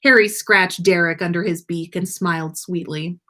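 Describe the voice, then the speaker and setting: A woman hosting and reading stories aloud live, with an enthusiastic, animated storytelling manner. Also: on the low side, normal speed. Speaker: podcast host and story reader.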